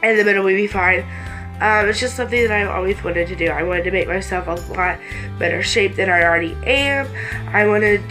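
A woman's voice: medium pitch, fairly quick, bright, forceful tone, soft background music. A woman in her late teens with congested sinuses, chatting casually and hesitantly to camera as a vlogger, easily distracted. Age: late teens, almost 18. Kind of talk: casual rambling chat to camera. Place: small bedroom, indoors.

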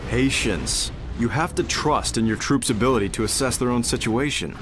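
An actor playing a confident young Jedi general and mentor in an animated game, commanding and wry. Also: male voice, medium pitch, moderate pace, over music, lightly acted. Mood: stern, instructive. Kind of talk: animated game cutscene acting.

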